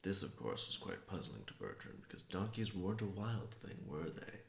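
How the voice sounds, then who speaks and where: Very deep, gravelly male storyteller. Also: sing-song. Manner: halting, pausing between phrases. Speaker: writer improvising a story. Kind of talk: improvised folktale storytelling. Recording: roomy, echoing sound.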